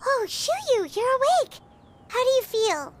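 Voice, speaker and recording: A woman voicing a tiny, chatty, food-loving fairy companion in a video game, squeaky and cutesy. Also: sing-song, takes it slowly, a little hiss or hum, heavily acted. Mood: bright, sheepish. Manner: cutesy, speaks of herself in third person.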